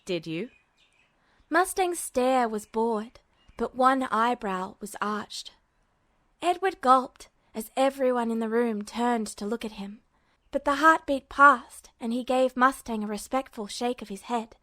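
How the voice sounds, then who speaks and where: A woman reading fan fiction aloud as a podfic, in steady storytelling narration. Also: fairly high pitch, measured pace, no room sound. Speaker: podfic reader.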